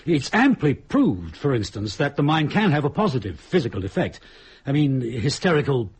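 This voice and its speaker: An actor playing a mild, thoughtful husband in a radio drama, questioning things in a tentative, reflective way. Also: male voice, mid-range voice, sing-song, moderate pace, husky.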